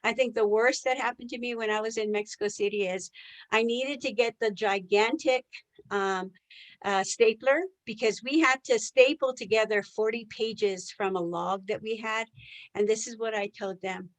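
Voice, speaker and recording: A woman's voice: on the high side, fairly even intonation, moderate pace, poor audio. A woman presenting a live online sales training as a trainer, a native English speaker who often puts questions to her listeners. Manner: engages listeners with rhetorical questions.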